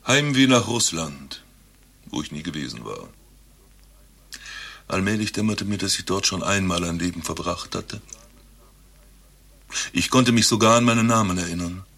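An actor playing an eccentric doctor who claims to be Rasputin reborn, telling his tale in a deadpan, grandiose way in a satirical radio play. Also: low voice, moderate pace, pauses often, exaggerated voice. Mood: nostalgic, musing. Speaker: doctor recounting his past life.

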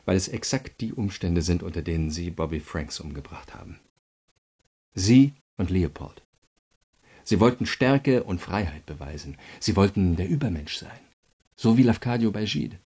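Radio crime drama prosecutor: low, creaky, subdued timbre, calm but insistent interrogator. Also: male voice, exaggerated voice. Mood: grave, accusing. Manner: measured, insistent, pointed questioning. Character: calm, probing male state prosecutor interrogating a prisoner.

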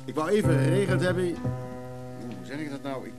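An actor playing an apologetic, ingratiating antiques hustler in a radio play, smooth but hesitant. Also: male voice, mid-range voice, normal speed, over loud music, natural voice.

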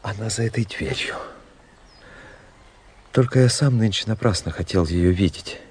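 An actor playing a young, self-willed aristocratic officer in a radio drama, theatrical and persuasive, on a noisy recording. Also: male voice, fairly low pitch, moderate pace, darkish tone, breathy edge. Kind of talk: Russian radio drama acting.

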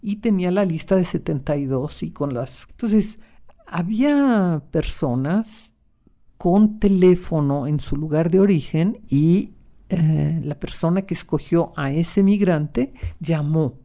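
A woman's voice: fairly low pitch, animated, slow, mellow. A woman with a Mexican accent, a guest in a radio interview, speaking reflectively and often closing her phrases with a questioning tag.

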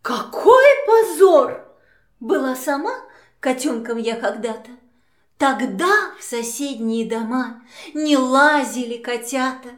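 Haughty high-voiced lady cat. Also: animated, takes it slowly, reverberant. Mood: haughty, indignant. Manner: haughty, theatrical. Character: haughty rich house cat lady, grandly hostess. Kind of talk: children's musical audio play.

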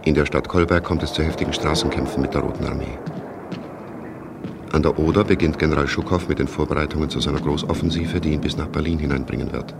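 A man's voice: a bass voice, steady tone, fast, creaky. A man delivering sober, factual, documentary-style scripted narration in a radio play. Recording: noisy, war sounds behind.

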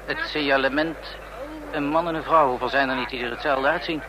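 An actor playing a sceptical police lookout in a radio play, making dry, observant remarks. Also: male voice, mid-range voice, fairly even intonation, a little fast, a crowd in the background, close to an everyday voice. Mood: watchful, sceptical. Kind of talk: radio drama acting. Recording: noisy old radio play, outdoor ambience.

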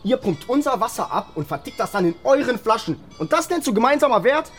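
Teenage boy activist, high voice, lively swings, defiant, confrontational, radio drama. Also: a little fast, soft background music, heavily acted. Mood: defiant, sarcastic. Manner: cheeky, confrontational, swearing. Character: brash, defiant seventeen-year-old activist son of a mayor. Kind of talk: naturalistic radio drama acting.

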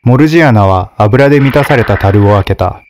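A man narrating a fairy tale in a steady, measured scripted reading. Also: lowish voice, a little hiss or hum.

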